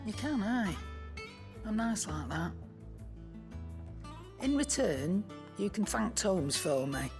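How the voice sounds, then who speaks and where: An actress voicing a gruff but kind-hearted working woman in a game, with a British accent and a teasing manner. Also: medium pitch, animated, slow pace, pauses often. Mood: teasing, fond. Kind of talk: video game cutscene acting. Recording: guitar music behind the dialogue.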